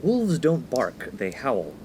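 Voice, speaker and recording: A man's voice: mid-range voice, wildly swooping pitch, slow pace, a little mellow, a little hiss or hum. A man playing a studious, proper young college freshman in a comic radio play, earnest and easily exasperated. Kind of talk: 1950s-set comic radio play acting.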